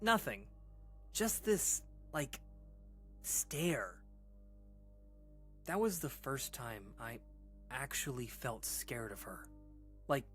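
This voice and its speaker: A voice actor playing a rattled person recounting a frightening childhood memory in a halting, conversational way, with stammers and dramatic pauses. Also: mid-range voice, sharp tone. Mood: unsettled. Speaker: storyteller. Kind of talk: audio drama monologue, conversational horror storytelling. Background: music.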